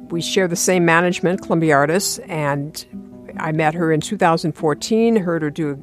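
A woman guitarist being interviewed, answering in an articulate, thoughtful and measured way. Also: deep voice, soft background music. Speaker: classical guitarist, interview guest. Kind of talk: interview conversation.

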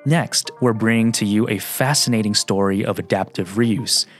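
A man hosting a radio show, narrating in a slow, measured, reflective way; his first language is Mandarin. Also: on the low side, soft background music.

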